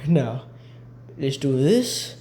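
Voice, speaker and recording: A man's voice: medium pitch, sing-song, very slow, warm tone, slight background noise, full character voice. A man voicing a gleeful, eccentric mad doctor in a theatrical way for an amateur cartoon dub. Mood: brisk. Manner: theatrical, exclamatory, giggling. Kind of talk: amateur fan voice-over of a comic animated short.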